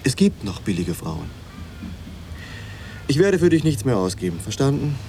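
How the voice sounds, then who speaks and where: A brash, domineering boyfriend from a radio play. He is blunt and dismissive, with very animated intonation and a dark, rounded tone. Light ambience is heard behind him. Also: medium pitch, normal speed, slight background noise. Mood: scornful. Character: brash, scornful, boastful working-class boyfriend. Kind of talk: radio drama acting. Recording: studio radio drama with background ambience.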